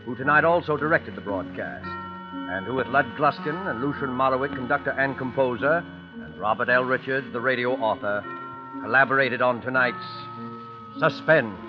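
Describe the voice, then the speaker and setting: A man hosting a radio drama in scripted 1940s style, formal, measured and ominous. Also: medium pitch. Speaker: radio drama host and announcer. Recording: muffled 1940s radio recording. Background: music.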